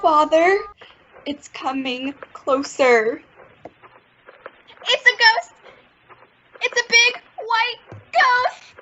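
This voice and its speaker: A whining, scared little daughter in a radio family comedy. The voice is extremely high and bright, drawn out very slowly, on a dull, slightly noisy recording. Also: female voice, exaggerated voice. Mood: terrified. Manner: plaintive, wailing. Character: frightened, whining nine-year-old daughter. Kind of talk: old-time radio family comedy acting.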